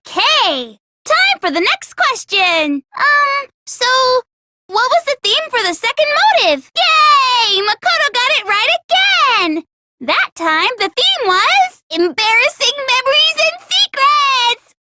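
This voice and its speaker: A woman's voice: extremely high pitch, sing-song, takes it slowly, heavy background noise, heavily acted. A woman playing a gleefully cruel, bubbly teenage girl mastermind in an anime game, with a taunting, exaggerated cutesy delivery. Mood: giddy. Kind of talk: anime video game voice acting.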